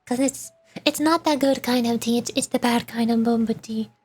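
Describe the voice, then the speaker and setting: A woman's voice: fairly high pitch, fairly even intonation, moderate pace, a little mellow, slightly rough. A woman livestreaming a game, chatting casually in a rambling way and stumbling as she reads dialogue aloud. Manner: rambling, stumbles over words while reading. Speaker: streamer, VTuber.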